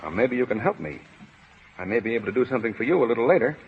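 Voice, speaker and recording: An actor playing a smug, bullying factory boss, self-important and slangy but cowardly underneath, in 1930s radio drama. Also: male voice, medium pitch, very animated, racing, slight background noise, close to an everyday voice. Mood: scheming. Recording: muffled 1930s radio broadcast, reverberant hall.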